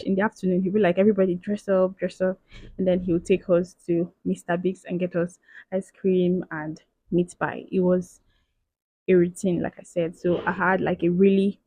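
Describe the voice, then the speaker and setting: A woman's voice: on the low side, dark tone, no echo. Chatty woman in her twenties. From Nigeria. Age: 20s. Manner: chatty, playful, emphatic, with frequent "okay" and "like" tags. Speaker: vlogger. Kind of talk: casual Q and A vlog.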